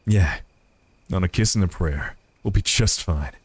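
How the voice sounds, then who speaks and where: A man's voice: deep voice, unhurried, slightly rough, dry recording, pitched below the performer's own voice. Man doing a tender folksy cowboy outlaw. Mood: tender, reassuring.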